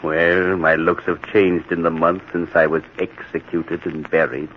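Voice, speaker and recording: A vengeful magician back from the grave, deep and deliberate. He speaks slowly, with theatrical menace, in an old radio horror play. The recording is muffled, with light hiss. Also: level tone. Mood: sinister menace.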